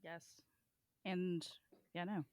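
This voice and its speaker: A woman's voice: fairly low pitch, flat delivery, takes it slowly, a little mellow, clean tone, no echo, highs cut off. A woman co-hosting a casual podcast, informal and giggly in her way of talking. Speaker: podcast co-host. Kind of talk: casual chat.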